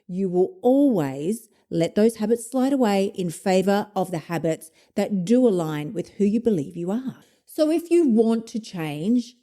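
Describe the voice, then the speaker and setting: A woman's voice: mid-range voice, warm tone. A woman in her fifties hosting a solo podcast, talking bluntly, swearing freely and laughing at herself as she coaches listeners. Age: 50s. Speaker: podcast host, motivation coach.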